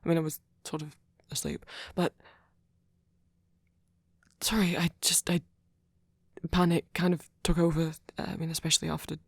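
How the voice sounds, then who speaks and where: Man acting a breathy, gentle vampire boyfriend. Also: fairly high pitch, little pitch movement, moderate pace, long pauses, no echo. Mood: flustered, apologetic. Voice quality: breathy, often near whisper. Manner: soft-spoken, hesitant, stammering, tender. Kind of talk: ASMR roleplay audio drama.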